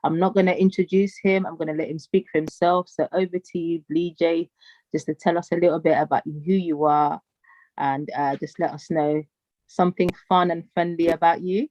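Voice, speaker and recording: A woman's voice: fairly low pitch, fairly even intonation, moderate pace, darkish tone, dry recording, dull audio. A woman hosting a live radio interview, effusive and playful, heaping praise on her guest. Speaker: radio show host.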